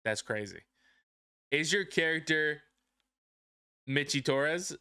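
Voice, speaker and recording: A man's voice: on the high side, lively intonation, slow pace, pushed and bright, clean tone, no echo, studio quality. A young man whose first language is Spanish, co-hosting a podcast in casual, boastful banter. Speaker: podcast co-host. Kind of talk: casual chat.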